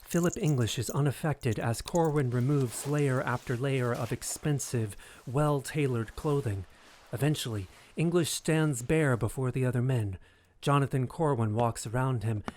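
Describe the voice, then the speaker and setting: Man narrating a drama, mid-pitched. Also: unhurried, a little mellow, slight background noise.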